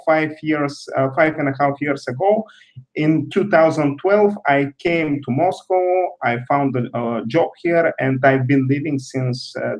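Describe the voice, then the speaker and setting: A man's voice: fairly high pitch, poor audio. Man, careful hesitant answers. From Bulgaria. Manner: careful, hesitant with many pauses. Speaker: guest. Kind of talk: interview answers.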